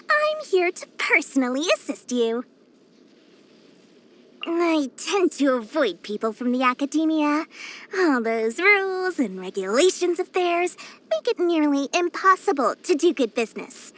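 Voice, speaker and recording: A woman's voice: high voice, a bit bright, heavily acted. A woman voicing a small, cheerful, greedy young female merchant for a video game, with theatrical, sing-song sales patter. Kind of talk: animated video game voice acting.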